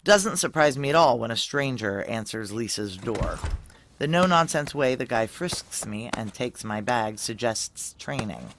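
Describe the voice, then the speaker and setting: A woman's voice: a bass voice, expressive, a little slow, fairly bright tone, heavily acted. Tough hard-boiled army vet lady, terse and guarded, noir radio play, bit of background noise. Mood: wry, tense. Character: tough, guarded female ex-Army Ranger leader plotting a heist. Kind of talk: noir audio drama, first-person narration and dialogue.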